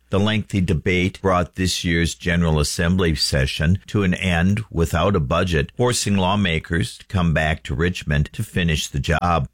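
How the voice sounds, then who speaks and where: A man reading the radio news in a steady, formal, scripted manner. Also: low-pitched, no room sound, studio quality. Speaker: radio newsreader.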